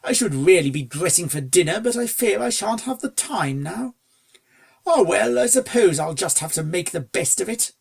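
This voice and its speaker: A reader voicing a genteel, good-natured upper-class gentleman stallion in a storybook, with a posh, dithering, old-fashioned way of talking. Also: medium pitch, animated, normal speed, creaky, voice pushed up. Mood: resigned. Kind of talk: storybook character dialogue.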